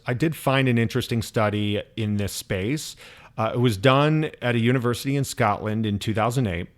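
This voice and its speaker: A man's voice: mid-range voice, moderate pace. A man co-hosting a conversational discussion, explaining things methodically and putting questions to his partner. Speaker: co-host. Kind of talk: conversational podcast-style discussion.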